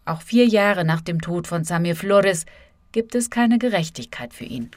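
A woman's voice: lowish voice, moderate pace, dry recording, clean studio sound. A woman reading a scripted radio feature as a correspondent, narrating and voicing translations of quotes.

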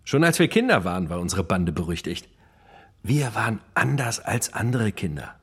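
A wry gangster telling his burglary stories in a radio play, very animated, swings up and down. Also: lowish voice, moderate pace, slightly rough, heavily acted. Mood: proud, nostalgic. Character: reminiscing male gang burglar turned writer, boastful, wry. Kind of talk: radio play monologue, storytelling.

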